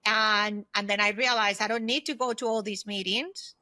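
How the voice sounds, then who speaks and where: A woman's voice: mid-range voice, fairly even intonation, moderate pace, sharp tone. A woman in her fifties talking as an interview guest, sharing personal experience and advice in an emphatic, conversational way. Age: 50s. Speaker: guest, solopreneur consultant and former aerospace executive.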